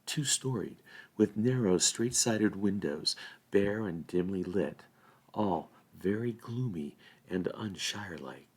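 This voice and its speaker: A man reading a fantasy storybook aloud as an expressive narrator. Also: low-pitched, slow, breathy edge. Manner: acts out dialogue with changed voices. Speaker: volunteer story reader.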